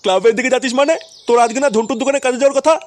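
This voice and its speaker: Man voicing a high-pitched crude Bengali village elder. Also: very fast, noisy background. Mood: stern, scolding. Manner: crude, swearing, emphatic. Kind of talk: foul-mouthed comedy cartoon dialogue.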